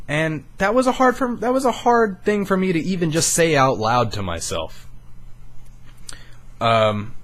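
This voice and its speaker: A young man of eighteen, a YouTuber, talking earnestly and rambling a bit on a studio microphone, swearing casually and sounding emotionally raw. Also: high voice. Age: young adult. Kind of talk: candid personal vlog.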